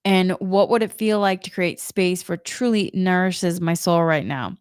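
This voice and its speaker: A middle-aged woman with a Boston accent gives gentle, reflective spiritual guidance, often repeating her questions. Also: lowish voice, measured pace, smooth, no echo, studio quality. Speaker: spiritual energy guide, Reiki practitioner.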